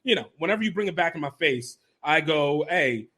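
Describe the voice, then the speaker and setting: A man hosting a casual interview podcast. He chats in a lively, laughing way and acts out quoted voices with big jumps in pitch. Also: a little fast. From Nigerian family, raised in America. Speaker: podcast host.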